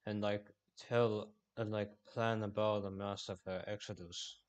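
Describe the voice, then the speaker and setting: Low-voiced rambling Finnish streamer. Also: male voice, flat delivery. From Finland. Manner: rambling, halting, many fillers and restarts. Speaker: livestreamer. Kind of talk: casual livestream chat.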